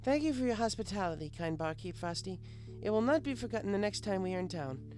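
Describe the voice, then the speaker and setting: A man voicing an imperious, excitable pony princess in a story reading, with commanding, archaic royal speech. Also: sits high, fast, soft background music, pitched above the performer's own voice. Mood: gracious.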